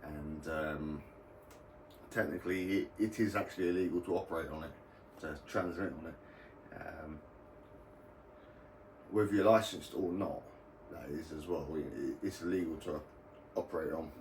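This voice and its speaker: A man's voice: a bass voice, moderate pace, darkish tone. A British man giving a casual, rambling explainer as the host of a hobby video channel. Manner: informal, rambling. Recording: roomy, distant mic, faint background noise.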